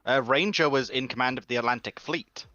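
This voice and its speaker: A man's voice: mid-range voice, fairly quick, bright, forceful tone, faint music behind. A man in a casual panel discussion, questioning and raising objections, recorded over dull voice-chat audio.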